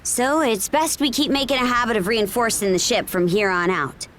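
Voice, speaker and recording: A woman's voice: on the high side, normal speed, fairly bright tone, slight background noise, natural voice. Practical, confident young seafaring woman played by an actress. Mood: wry, practical. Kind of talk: video game cutscene dialogue.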